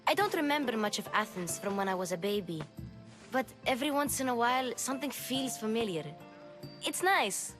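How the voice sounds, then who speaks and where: A woman plays a young girl helper in a video game with a high voice. She sounds earnest and reassuring, a little bossy but caring, with soft music underneath. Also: animated, fairly quick, close to an everyday voice. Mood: fond. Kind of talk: video game cutscene acting.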